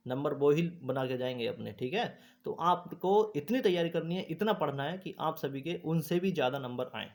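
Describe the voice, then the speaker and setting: A man giving an informal, instructive explainer to exam candidates, repeating points and often checking that listeners follow. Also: fairly high pitch. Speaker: host of an exam news channel.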